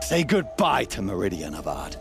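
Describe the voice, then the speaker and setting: A man's voice: low voice, big pitch swings, normal speed, vocal fry, music in the background, exaggerated voice. A man playing a vengeful, bitter villain with theatrical menace in a video game cutscene. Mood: gloating menace. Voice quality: menacing delivery.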